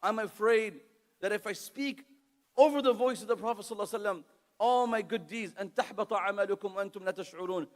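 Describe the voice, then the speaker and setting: An impassioned male preacher with a high voice. His sermon rings with the long reverb of a large hall, and his Arabic recitation comes fast and fluent. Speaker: Islamic preacher. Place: lecture hall.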